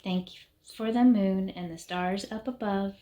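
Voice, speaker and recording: A woman reading a rhyming children's picture book aloud in short, measured phrases with pauses. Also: mid-range voice, fairly even intonation, dark tone. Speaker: reader of a children's story. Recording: background noise with clicks.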